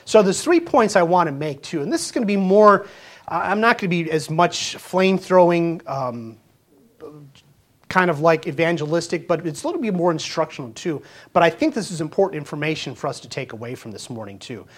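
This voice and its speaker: A man's voice: fairly high pitch, lively intonation, brisk, a bit bright. A man of fifty, a pastor, preaching a sermon in an earnest, conversational way, full of rhetorical questions and small restarts. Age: 50s.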